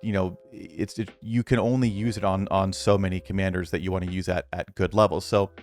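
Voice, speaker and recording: A man's voice: deep voice, little pitch movement, a little fast, a little mellow, faint music behind. A man who makes videos about a mobile strategy game, talking casually and earnestly to his viewers, rambling with many restarts and fillers.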